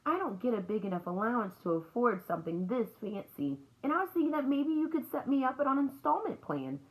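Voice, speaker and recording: A woman's voice: fairly high pitch, very animated, fairly quick, voice pushed up, rough recording. A woman voicing an earnest young girl in a storybook, eager, polite and persuasive. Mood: eager, pleading.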